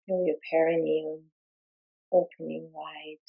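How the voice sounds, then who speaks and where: A woman leading a guided meditation, giving gentle, soothing instructions in short, unhurried phrases with long pauses. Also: deep voice, level tone, vocal fry, phone-quality sound.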